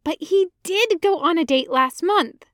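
A woman's voice: very high voice, lively intonation, a little slow, no room sound, studio quality, pitched above the performer's own voice. A woman voicing an exasperated, impulsive young man in an audio story, whiny and emphatic. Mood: panicked, insistent.

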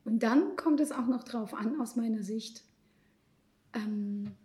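A middle-aged woman with a rather high but mellow, warm voice speaks on a discussion panel. She is thoughtful and pauses often on fillers, letting phrases trail off softly. She was born in Ukraine and grew up in Israel. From born in Ukraine, raised in Israel. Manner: thoughtful, hesitant with frequent fillers and soft trailing asides. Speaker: psychologist and panel guest.

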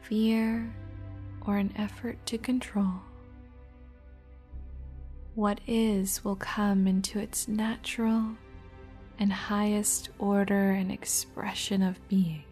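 A woman narrates a guided meditation very slowly in a soft, breathy, half-whispered voice. Her delivery is even and gentle, pausing between short phrases, with music playing behind her. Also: mid-range voice.

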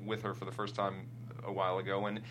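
A man's voice: sits low, completely flat delivery, fast talker, noisy background. An American man chatting casually as a screenwriter guest in an interview, with a self-deprecating manner.

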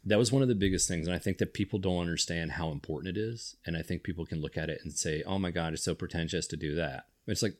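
Very deep-voiced male podcast host. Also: quick pace, few pauses. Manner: rambling, self-correcting, enthusiastic. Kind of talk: casual chat.

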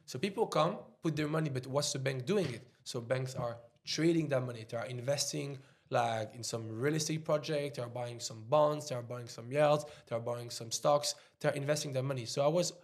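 A man's voice: mid-range voice, brisk, few pauses. A man from Switzerland with a French accent, a podcast guest talking casually about trading and money, leaning on "like" and "you know.". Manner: loose and chatty, leans on "like" and "you know". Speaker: interview guest, trader and investor.

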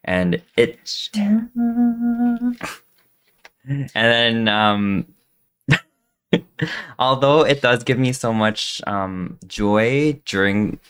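A man from the Philippines co-hosting a podcast, answering questions in an easygoing, thoughtful way with soft laughs. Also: mid-range voice, sing-song, drawn-out words, pauses often, clean tone. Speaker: podcast co-host, streamer. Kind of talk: casual chat.

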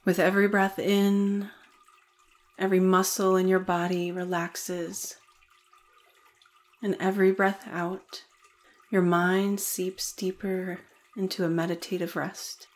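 A woman leading a guided meditation in a soothing, deliberate manner with gentle pauses. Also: on the low side, flat delivery.

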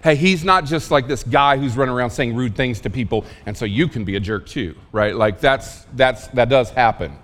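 A man preaching a sermon in a casual, emphatic way, with asides and quick questions to the congregation. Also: mid-range voice, fairly bright tone, in a large hall. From Oklahoma. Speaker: pastor.

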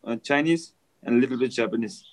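A man with a Nepali accent, a native Nepali speaker, answering interview questions in halting, simple English. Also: mid-range voice. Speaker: interview guest, mountaineer. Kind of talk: casual interview.

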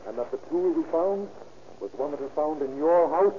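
An actor playing a formal, probing police detective in a vintage radio drama. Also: male voice, a little high, lively intonation, normal speed, lightly acted. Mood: stern, probing. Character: probing, matter-of-fact male police detective. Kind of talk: 1930s radio acting. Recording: noisy muffled old radio recording.

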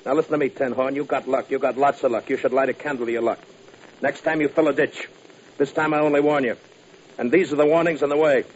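Jealous gangster boss male actor, American, medium pitch, insistent clipped threats, period radio drama, muffled vintage recording. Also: fairly even intonation, fast, natural voice. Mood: threatening. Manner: terse, insistent, interrogating in short bursts. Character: menacing male bootleg-era gangster boss, boastful, jealous husband. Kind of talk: 1950s radio crime drama acting. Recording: dull, noisy vintage radio broadcast.

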